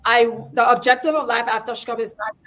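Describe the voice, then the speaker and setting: Higher-pitched woman, talk show guest. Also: fairly even intonation, brisk, a bit bright. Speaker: guest, reality show creator. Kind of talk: conversational interview answers.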